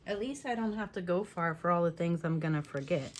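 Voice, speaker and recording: A woman with a slightly lowish, raspy voice talks through a paper-crafting tutorial in her home craft room. She chats casually and keeps apologizing in little asides as she works. A faint hum from a fan sits underneath. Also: a little fast. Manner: chatty, apologetic asides while working. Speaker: scrapbooking tutorial host. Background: fan running.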